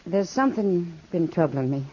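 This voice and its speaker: A woman acting a worldly, caring, frank saloon woman in a 1950s radio western, earnest with hesitant pauses. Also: fairly low pitch, lively intonation, warm tone, husky. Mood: troubled. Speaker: radio actress. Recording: dull vintage 1950s radio recording.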